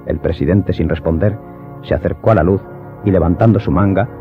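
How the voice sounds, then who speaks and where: A man's voice: low voice, fairly quick. A man reading a scripted audiobook narration as the storyteller. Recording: dull lo-fi sound, faint music behind.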